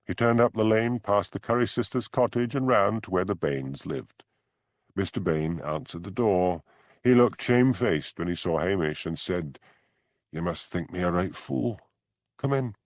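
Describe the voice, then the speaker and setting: A man's voice: fairly low pitch, no room sound, clean studio sound. A man reading scripted audiobook narration.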